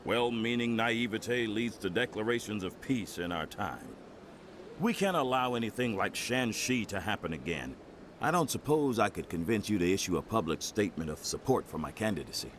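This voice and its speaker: Low-voiced, earnest politician. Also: male voice, big pitch swings, fairly bright tone, slight background noise. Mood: defensive, firm. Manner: formal, measured, rhetorical. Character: earnest, smooth nationalist male politician seeking votes. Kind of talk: video game dialogue acting.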